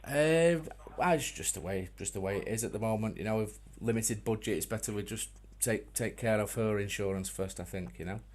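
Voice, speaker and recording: A man making a prank call as a customer, speaking in a deadpan, mock-earnest way with hesitant, repeated phrases. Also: fairly low pitch, a little fast, hardly any pauses. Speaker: prank caller.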